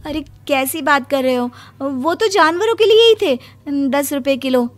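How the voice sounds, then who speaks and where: A woman's voice: high voice, animated, measured pace, soft background music, voice pushed up. A woman playing a thrifty, stubborn young housewife in a cartoon moral story, protesting in an exaggerated, naive way. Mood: defensive.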